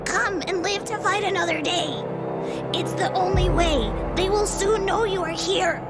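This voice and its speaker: A tiny creature with an extremely high, squeaky little voice. It speaks slowly and deferentially, an urgent loyal guide in a fantasy audio drama over loud music. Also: female voice. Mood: urgent, pleading. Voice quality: small, squeaky. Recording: loud music bed behind.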